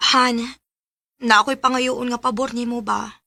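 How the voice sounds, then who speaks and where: A young woman playing a gentle, free-spending girlfriend in an amateur radio drama. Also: on the high side, steady tone, a little slow, gravelly, no room sound, full character voice. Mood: coaxing.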